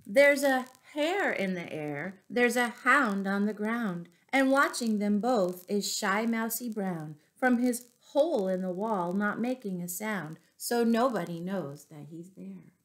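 A middle-aged woman reading picture books aloud expressively to young children, pausing to explain words. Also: mid-range voice, animated. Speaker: children's storyteller and teacher. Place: small room at home, indoors.

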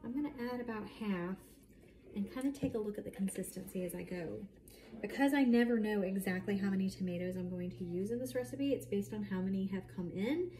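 A woman's voice: medium pitch, brisk, warm tone. A woman in her thirties chatting casually to camera as she demonstrates home cooking, with explanatory asides as she works. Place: home kitchen, indoors at night. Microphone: camera mic a short distance away. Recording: clearly noisy home kitchen recording.

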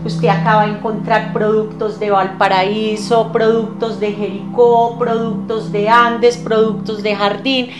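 Colombian lady from antioquia, middle-aged, pitching tours in an echoey room, music behind. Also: level tone, unhurried, few pauses. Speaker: tour agency representative being interviewed. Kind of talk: promotional interview talk. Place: small hard-walled room indoors.

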